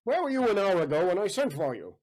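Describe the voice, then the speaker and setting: A male actor plays an imperious, jealous nobleman in a period stage comedy. The delivery is haughty and theatrical. The pitch moves widely from phrase to phrase. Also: sing-song, fast, darkish tone, a little hiss or hum. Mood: stern. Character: jealous, imperious count, suspicious of his servant. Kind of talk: comic period stage acting.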